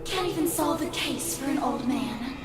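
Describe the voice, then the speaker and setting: A woman's voice: sits high, lively intonation, moderate pace. A woman voicing a cruel monster in a visual novel game that mimics a friend to sneer and mock. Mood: scornful taunting. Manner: sneering, mocking. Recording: echoey, distant, under loud music.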